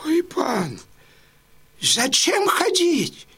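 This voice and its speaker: Anxious wailing trader, sharp tone, swooping intonation, exclamatory, audio drama. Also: male voice, extremely high pitch, huge pitch swings, drawn-out words, vocal fry, heavily acted. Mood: pleading, nervous.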